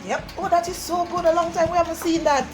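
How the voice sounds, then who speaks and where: A woman's voice: very high voice, steady tone, fast. A middle-aged woman with a Jamaican accent, chatting casually to viewers as a co-host. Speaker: co-host, the host's mother. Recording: music over the talk. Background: music.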